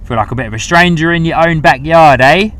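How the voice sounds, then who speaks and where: A man's voice: on the high side, quick pace, fairly bright tone. A man from the UK with a mixed English and New Zealand accent, hosting a chatty live plane-spotting stream outdoors by an airport. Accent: mix of English and New Zealand. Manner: upbeat, chatty, talks to viewers. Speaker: livestream host.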